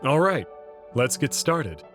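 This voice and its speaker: A man's voice: medium pitch, very animated, slow pace, fairly bright tone, faint music behind. A man giving a scripted introduction as the host of a game playthrough series. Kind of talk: scripted narration, channel introduction.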